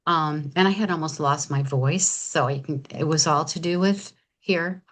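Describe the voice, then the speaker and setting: A woman's voice: deep voice, normal speed, fairly bright tone. A woman in her seventies sharing an enthusiastic, chatty testimonial on a video call, often restarting her sentences. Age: 70s.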